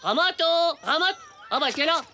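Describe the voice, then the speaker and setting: A woman voicing a cheeky, scheming, excitable ten-year-old boy in a French cartoon. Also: sits high, moderate pace, slight background noise, heavily acted. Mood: gleeful. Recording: music behind the voice.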